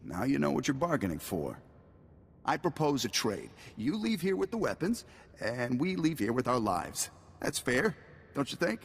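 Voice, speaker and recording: An actor playing a wry, polite but calculating pirate leader in a video game, speaking with measured hesitations. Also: male voice, medium pitch, sing-song, breathy edge, in a large hall. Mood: bargaining. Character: smooth-talking male pirate leader, wry, bargaining, calculating.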